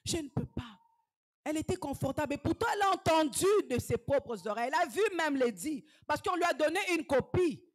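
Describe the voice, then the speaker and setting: A woman evangelist, preaching a sermon fervently, dropping to hushed whispers and rising to shouted exhortations. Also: high voice, lively intonation, fairly quick.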